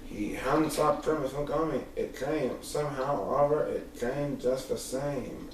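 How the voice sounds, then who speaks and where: A man reading a rhyming children's story aloud as an expressive narrator. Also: medium pitch, unhurried. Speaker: storybook reader. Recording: very echoey room, distant mic, faint noise.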